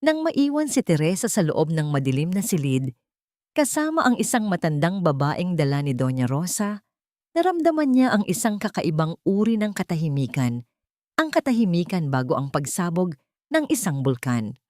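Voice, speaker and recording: A woman narrating a dramatic scripted story with deliberate, theatrical phrasing. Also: on the low side, sing-song, a little mellow, no room sound, studio quality.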